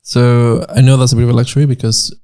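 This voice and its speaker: Gravelly-voiced man, from Nicaragua. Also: medium pitch, level tone, fast, dark tone. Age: 20s. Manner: rambling, repeats words while searching for them. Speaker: podcast co-host. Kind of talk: casual chat.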